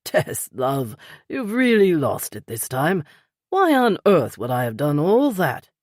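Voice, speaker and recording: A woman's voice: low-pitched, huge pitch swings, slow, darkish tone, no echo, studio quality, full character voice. A woman doing a clipped, polite older British gent. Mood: calm, polite.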